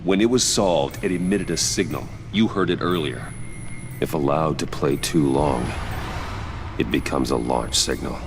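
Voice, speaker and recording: Grim vigilante detective, extremely low pitch, measured, terse, serious, video game drama, noisy background. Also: male voice. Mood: grave, analytical. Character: grave, determined adult male vigilante detective, low and controlled. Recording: clearly noisy game audio.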